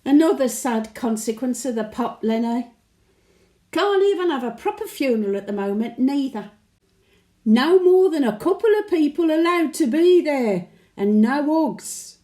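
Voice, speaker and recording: A woman's voice: high-pitched, expressive, unhurried, dark tone. A woman playing a chatty, sentimental elderly Birmingham widow with a Brummie accent, reminiscing in a folksy, plain-speaking monologue. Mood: rueful, chatty. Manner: folksy dialect, wistful and chatty. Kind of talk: dramatic monologue, conversational reminiscence.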